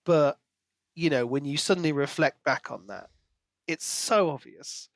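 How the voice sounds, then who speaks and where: A man's voice: a little high, measured pace, dry recording, studio quality. A man co-hosting a podcast in casual book discussion, acting out reactions as he retells. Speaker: podcast co-host.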